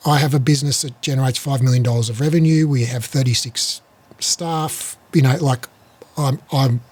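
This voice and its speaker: A man's voice: medium pitch, steady tone, normal speed, darkish tone, breathy edge, no echo. A man in his fifties chatting casually as a podcast host, blunt and sweary, often restarting his phrases. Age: 50s. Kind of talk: casual chat.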